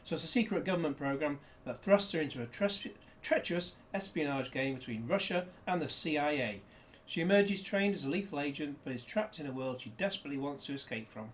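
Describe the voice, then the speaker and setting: A man's voice: a little high, lively intonation, brisk, hardly any pauses, a little hiss or hum. A man chatting casually through a disc unboxing, rambling with jokey asides, recorded indoors on a desk camera. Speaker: disc reviewer.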